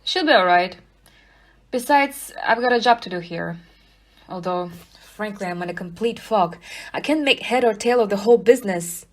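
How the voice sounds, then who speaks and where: A woman playing a frank, brisk police inspector in an audio play, speaking in a casual, plain way. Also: mid-range voice, expressive, close to an everyday voice. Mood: frank, puzzled.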